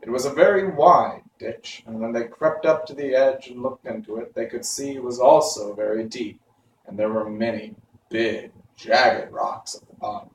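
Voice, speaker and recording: Male story reader, mid pitch, echoey room, a little off-mic, reading aloud. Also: normal speed. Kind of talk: reading a children's novel aloud. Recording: roomy, slightly distant, faint background noise.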